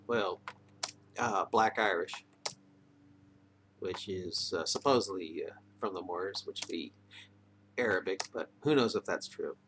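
A man's voice: deep voice, measured pace, long pauses, a bit bright. A middle-aged man talking casually into a webcam microphone at home, hesitant and often trailing off. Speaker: video maker. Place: indoors, wood-panelled room at home. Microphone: computer or webcam microphone, near arm's length. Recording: noisy webcam microphone with room ambience.

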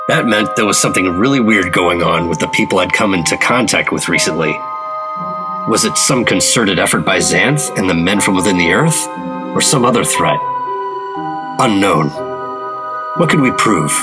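An actor playing a hard-boiled, wary 1950s government agent in a radio drama, matter-of-fact and clipped. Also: male voice, sits low, lively intonation, moderate pace, pauses often. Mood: suspicious. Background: dramatic music.

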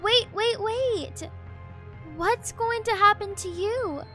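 Lonely kind teen girl played by a woman; very high, bright, slow-spoken. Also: faint music behind, full character voice. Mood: urgent. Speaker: voice actor. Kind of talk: amateur voiced game movie.

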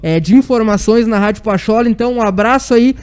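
A man's voice: high voice, fast talker, a little mellow, clear, resonant tone. A man with a gaúcho southern Brazilian accent, hosting a live sports radio show in an informal, courteous way.

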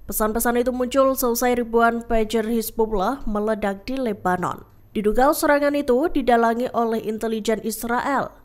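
A woman reads scripted news narration as a presenter, in a formal, measured manner. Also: on the high side, fairly even intonation.

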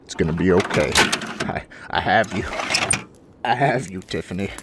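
A man's voice: low voice, normal speed, very noisy, lightly acted. An actor playing a scruffy, foul-mouthed space adventurer, rambling and sardonic as he narrates a sci-fi audio drama. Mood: anxious, soothing.

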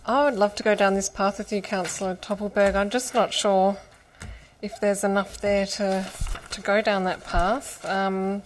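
A woman's voice: medium pitch, level tone, a little hiss or hum, rough recording. A woman chairing a council meeting as mayor, speaking with some hesitation and fillers into a desk microphone in a large chamber. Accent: Australian. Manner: brisk procedural, then hesitant in debate.

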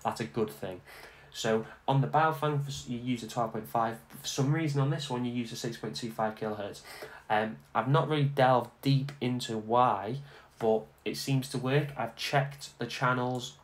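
A man giving a casual, informal software tutorial, instructing step by step and repeating himself as he goes. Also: mid-range voice, moderate pace, low-quality recording. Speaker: tutorial host. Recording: clear background noise.

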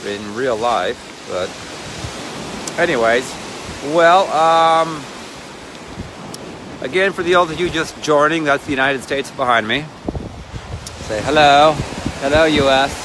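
A man's voice: medium pitch, a little slow, long pauses. Chatty man vlogging on a windy shore. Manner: chatty, wandering, self-mocking. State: cold. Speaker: vlogger and ministry leader. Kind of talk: casual rambling selfie vlog. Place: outdoors on a windy lakeshore with waves. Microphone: phone held at arm's length. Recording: wind and surf noise on phone audio. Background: waves and wind.

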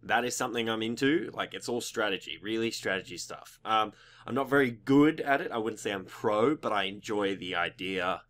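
A young man with a slightly croaky voice giving casual, rambling gaming commentary as a video host, informal and mildly sweary. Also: fairly low pitch, expressive, moderate pace, a bit bright.

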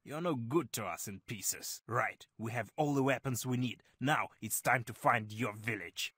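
A man's voice: medium pitch, normal speed, fairly bright tone, breathy edge, dry recording. A man voicing a hardened guerrilla commander in a video game, terse, dry and sardonic. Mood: dry, businesslike. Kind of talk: scripted video game cutscene acting.

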